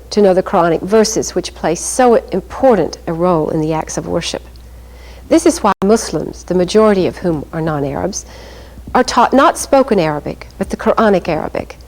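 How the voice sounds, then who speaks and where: A woman reads scripted documentary narration in a formal, even manner as the program narrator. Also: on the low side, low-quality recording.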